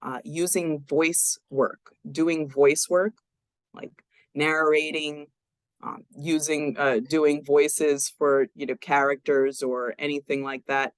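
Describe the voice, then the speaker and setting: A woman talking as a guest on a show, slowly and in a fairly even, somewhat monotone voice. She hesitates, using fillers and correcting herself as she goes. The sound is dry, with no room echo. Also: low-pitched, clear, resonant tone. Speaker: guest speaker. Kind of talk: casual interview talk.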